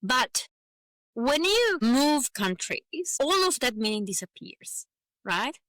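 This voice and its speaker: Bright, high-voiced Venezuelan-accented woman. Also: sing-song, slow pace, no room sound. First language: Spanish. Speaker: podcast guest, advocate for migrant professionals. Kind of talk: conversational interview.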